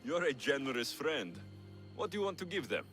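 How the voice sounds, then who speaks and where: An actor playing a tough but wry and kindly mercenary in a video game. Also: male voice, mid-range voice, very animated, brisk, fairly bright tone, faint music behind. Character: tough male Greek mercenary, gruff but kind, wry. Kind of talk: video game cutscene acting.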